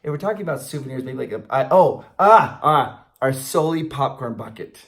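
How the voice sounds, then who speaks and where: A middle-aged man co-hosting a casual fan question chat, telling stories in an animated way. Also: mid-range voice, fairly quick. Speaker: co-host of a theme park fan channel. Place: small indoor room, in front of a banner.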